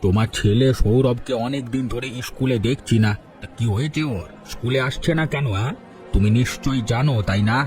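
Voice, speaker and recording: A man's voice: medium pitch, brisk, a faint crowd, natural voice. A man voicing a proud village headman in a cartoon, authoritative and coaxing. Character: village headman and teacher, proud, two-faced. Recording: soft music under the voice.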